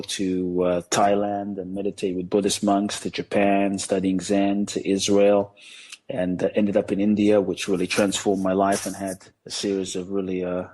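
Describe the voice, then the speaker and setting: A man from Ghana who grew up in London, a guest on a podcast, telling his life story in a reflective, measured way with many pauses and fillers. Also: fairly low pitch, darkish tone, husky. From Ghana, raised in London. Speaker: guest, spiritual teacher.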